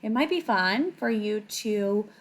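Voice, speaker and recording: A woman's voice: medium pitch, lively intonation, slow. A woman reading a picture book aloud to children, with chatty asides and checking questions.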